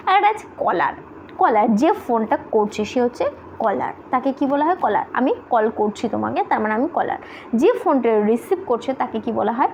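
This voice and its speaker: A woman's voice: fairly high pitch, darkish tone. A woman teaching an explanatory lesson, repeating key points and answering her own questions. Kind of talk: explanatory classroom lesson in Bengali mixed with English terms. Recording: dull lo-fi sound with background noise.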